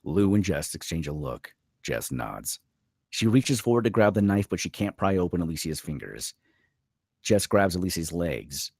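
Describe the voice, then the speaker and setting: Very deep, animated male narrator. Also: moderate pace, creaky, breathy edge, no echo, studio quality. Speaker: narrator of stage directions. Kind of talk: scripted narration at a screenplay table read.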